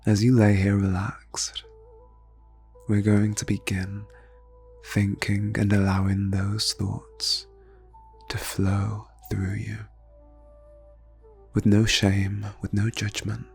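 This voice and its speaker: A man leading an ASMR guided meditation in a soft, breathy near-whisper, phrasing slowly and soothingly with long pauses. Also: on the low side, completely flat delivery, faint music behind. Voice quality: breathy, hushed.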